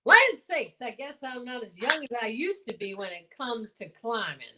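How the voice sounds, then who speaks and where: A folksy old small-town lawman in a radio play , acted by a woman. The voice is high for the part and very animated, full of hems and rustic exclamations. He sounds dutiful and a little flustered. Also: moderate pace. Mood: straining, fussing. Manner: folksy, drawling exclamations, hemming. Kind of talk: old-time radio drama acting.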